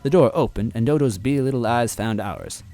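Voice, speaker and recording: A man narrating a fan-fiction story aloud in a playful, animated way. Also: mid-range voice, brisk, soft background music.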